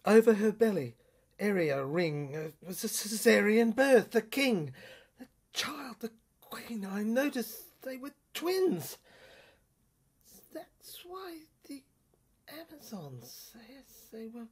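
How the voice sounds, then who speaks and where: Female voice, rough and husky, for experimental audio drama; theatrical delivery in clipped fragments. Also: a little high, animated, takes it slowly, darkish tone. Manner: fragmented, theatrical delivery in short broken phrases.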